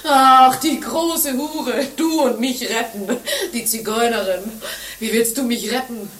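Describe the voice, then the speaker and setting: An actress playing a pious, world-weary nun in a radio play, solemn and dramatic with an indignant, scornful edge. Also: female voice, a little high, unhurried, fairly bright tone. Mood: indignant, anguished. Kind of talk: German radio play acting.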